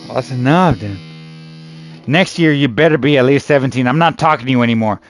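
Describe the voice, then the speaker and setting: A man's voice: medium pitch, brisk, soft background music. A man in his early thirties in casual, teasing chat with gaming friends, loose and slangy, calling people "dude" and "bro" and swearing now and then. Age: 30s. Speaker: gaming streamer.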